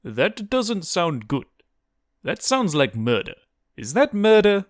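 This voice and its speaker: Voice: medium pitch, very animated, slow pace, dry recording, studio quality, voice pushed up. A narrator voicing a naive, chatty adventurer who is guileless, blunt and full of questions. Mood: uneasy.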